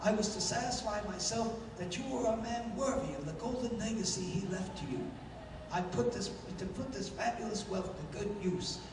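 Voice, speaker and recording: A man's voice: sits high, moderate pace, natural voice. Man acting gracious elder ruler, solemn, formal, 1930s radio style, distant echoey sound. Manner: stately, formal. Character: solemn, gracious elder king bestowing a legacy. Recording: echoey, noisy hall, distant microphone.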